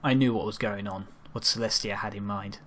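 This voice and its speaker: A man narrating a first-person story dramatically, in an earnest, measured and subdued way, as an amateur reader. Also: on the low side, steady tone, a bit bright, a little hiss or hum.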